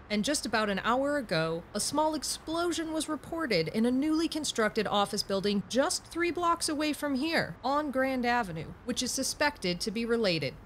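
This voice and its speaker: A woman playing a composed TV field reporter, giving a measured, formal live news report. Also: on the high side, slight background noise, close to an everyday voice. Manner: measured, formal newscast delivery.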